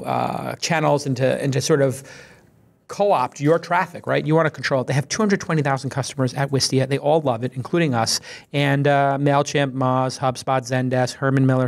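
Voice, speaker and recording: A man in his forties hosting a podcast roundtable and reading ads, animated and punchy, talking into a studio boom mic. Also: gravelly. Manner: animated, gestures broadly, punchy asides. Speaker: podcast host. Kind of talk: casual roundtable chat and ad read. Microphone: studio mic on boom arm.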